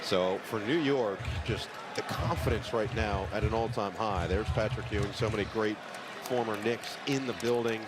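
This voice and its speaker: A man's voice: on the low side, normal speed. A man with an American accent giving live play-by-play commentary on a basketball game.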